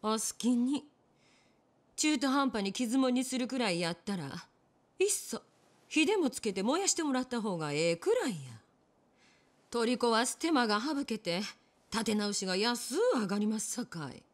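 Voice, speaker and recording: An actress playing a poised, determined innkeeper with a Kyoto accent in a period game drama, genteel, polite and deliberate. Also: female voice, a little high, lively intonation, natural voice. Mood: defiant, resolute.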